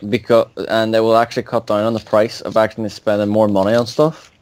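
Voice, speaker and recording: A man's voice: on the low side, level tone, quick pace, darkish tone. A man rambling in a gaming voice chat with friends, piling on fillers and casual swearing. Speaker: gamer in voice chat. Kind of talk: casual voice chat while playing.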